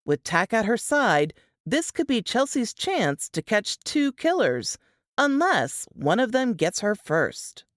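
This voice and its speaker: A woman's voice: on the high side, sing-song, slow pace. A woman reading scripted suspense narration in a dramatic trailer style.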